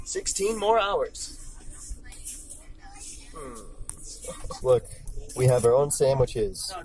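A young man chatting casually as a travel vlogger, joking and relaxed, filmed on a handheld camera. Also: medium pitch, drawn-out words, faint music behind, rough recording. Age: young adult. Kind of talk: casual vlog commentary. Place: sleeper train cabin and small kitchen.

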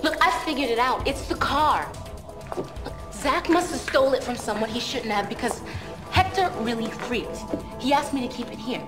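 Woman acting anxious young woman, highish swooping voice. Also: lively intonation, moderate pace, music in the background, close to an everyday voice. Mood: scared, pleading. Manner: anxious, hesitant, pleading. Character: frightened, pleading, guilty young woman. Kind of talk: video game cutscene acting.

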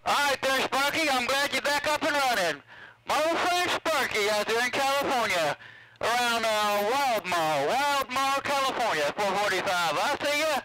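High-pitched, bright-voiced man on CB radio. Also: animated, moderate pace, a little hiss or hum, low-quality recording. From Mississippi, USA. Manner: folksy, repeats phrases, uses radio slang. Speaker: CB radio operator. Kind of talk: casual CB radio banter.

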